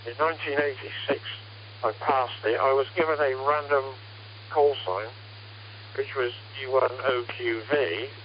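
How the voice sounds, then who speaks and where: A man's voice: medium pitch, a little slow. Older british guy chatting on ham radio, dull narrow sound, bit of hum and noise. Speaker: amateur radio operator. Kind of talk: casual radio contact chat. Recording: muffled, noisy, humming ham radio transmission. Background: radio static and hum.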